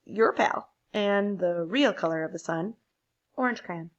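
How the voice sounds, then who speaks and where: Smug, petty storybook crayon, read by a woman, snide and emphatic at an unhurried pace. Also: medium pitch, muffled recording, voice pushed down, lightly acted. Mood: smug, scornful. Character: smug, scornful orange crayon, petty rival. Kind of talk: picture book read aloud.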